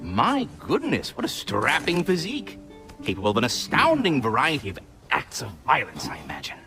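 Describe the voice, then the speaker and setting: An actor voicing a fussy, effusive talking squirrel with florid, genteel diction, like a refined gourmand in a video game. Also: male voice, mid-range voice, wildly swooping pitch, moderate pace, gravelly, over music. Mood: effusive, delighted. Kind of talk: video game character acting.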